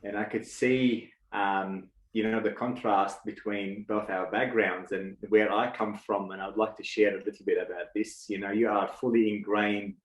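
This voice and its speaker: A man's voice: on the low side, fairly even intonation, moderate pace, few pauses, reverberant, rough recording. A man from Lebanon, a guest speaker, talking reflectively with many fillers and restarts. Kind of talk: reflective casual talk.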